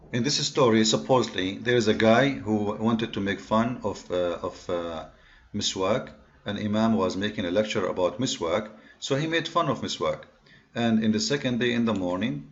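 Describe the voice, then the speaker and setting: Lowish man, Arabic accent, dull recording. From Middle East. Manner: emphatic, counts off points, frequent uh fillers and restarts. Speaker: online commentator on religion. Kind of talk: lecture-style talk to viewers.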